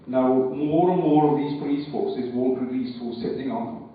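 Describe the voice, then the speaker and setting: Man, audience speaker at a meeting, halting and careful, heard from a distance in a reverberant hall with dull sound. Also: mid-range voice, a little hiss or hum. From Wales. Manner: hesitant, repeats words, cautious. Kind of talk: unscripted public speech. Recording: echoey hall, far from mic, dull sound.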